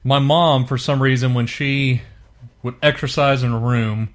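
A man's voice: mid-range voice, fairly even intonation, unhurried. A man co-hosting a podcast in casual, conversational banter. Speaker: podcast co-host.

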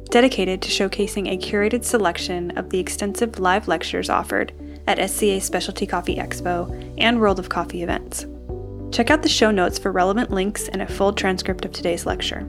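A woman hosting a podcast, reading a scripted introduction in a smooth, even manner. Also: medium pitch, a little fast, over music. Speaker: podcast host.

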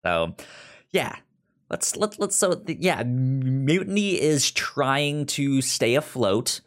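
Male podcast host, slow, stuttering delivery, chatty. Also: mid-range voice, big pitch swings. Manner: slow, halting, with frequent stutters and restarts. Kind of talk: casual podcast chat.

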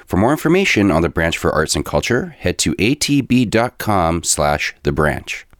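A man reading a scripted advertisement in a smooth, upbeat promotional manner. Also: deep voice, big pitch swings, normal speed, dry recording, clean studio sound. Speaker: ad announcer. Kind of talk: scripted ad read.

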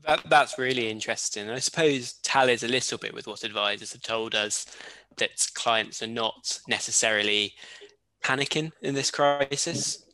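Bright-voiced male interviewer, dry sound. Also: medium pitch, normal speed, dry recording, poor audio. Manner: curious, polite questioning. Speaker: interviewer, host. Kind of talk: remote interview.

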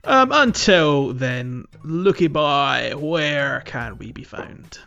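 A man with a fairly high voice hosts a casual gaming podcast, chatting and steering the talk. The sound is a little dull and lo-fi, with music behind him. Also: lively intonation, slow pace, creaky, bright, forceful tone. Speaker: podcast host.

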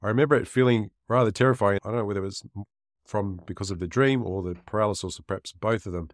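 A man's voice: on the low side, quick pace, dry recording, clean studio sound. A man hosting a podcast interview, friendly and inquisitive. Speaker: podcast host.

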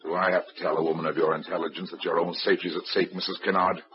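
A man's voice: low-pitched, little pitch movement, quick pace, a little hiss or hum, close to an everyday voice. An actor playing a hard-boiled private detective with a wry, clipped tough-guy delivery, in 1940s radio acting. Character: wisecracking hard-boiled male private eye. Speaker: narrator and lead detective. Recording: dull old radio recording.

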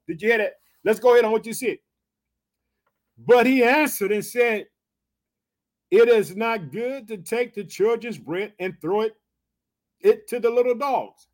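High-pitched American man preaching fervently. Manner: emphatic, repetitive, punctuated with exclamations and direct appeals to listeners; colloquial, folksy phrasing. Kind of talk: fervent, exhortative sermon.

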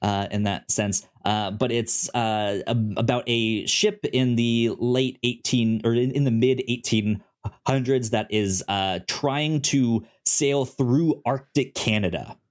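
A man co-hosting a casual review podcast, talking informally and haltingly, often stuttering and repeating syllables. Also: mid-range voice. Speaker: podcast co-host. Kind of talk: casual review show chat.

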